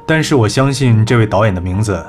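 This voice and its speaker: A man playing a cool, assured agency executive in an audio drama, measured and persuasive. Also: lowish voice, animated, a little mellow, soft background music, natural voice. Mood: confident, persuasive. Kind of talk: Chinese audio drama acting.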